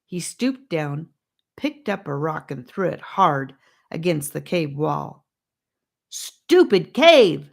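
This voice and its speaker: A woman's voice: low-pitched, slow, gravelly. A woman reading a children's adventure story as an audiobook narrator, in scripted narration.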